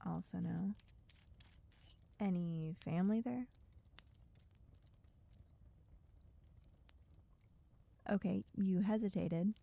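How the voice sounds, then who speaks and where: A woman's voice: lowish voice, unhurried, vocal fry, warm tone. A woman playing a wry, bossy, guarded survivor in a dystopian audio roleplay, speaking to a silent listener in a dry, chiding, sardonic way. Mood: probing, teasing.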